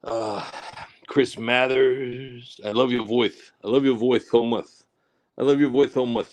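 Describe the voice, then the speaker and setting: A man with a lisp, chatting on a live stream from outdoors in the woods in a halting, rambling way full of fillers. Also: medium pitch, fairly even intonation, darkish tone, a little hiss or hum. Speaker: livestream host. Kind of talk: casual live-stream chat.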